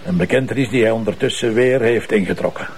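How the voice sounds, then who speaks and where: A man's voice: on the low side, fast talker, darkish tone, breathy edge. A Flemish man playing a bossy, sardonic police commissioner who lectures and teases his team in a TV crime drama. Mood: firm, explanatory. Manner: commanding, teasing, lecturing. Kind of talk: naturalistic TV crime drama acting.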